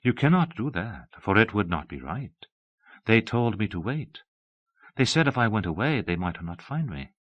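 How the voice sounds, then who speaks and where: A man voicing an old abbess in an audiobook, soft and breathless, with a dreamy, pleading, childlike innocence. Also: fairly low pitch, expressive, unhurried, dry recording, dull audio, voice pushed down. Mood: dreamy, serene. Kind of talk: dramatic audiobook storytelling.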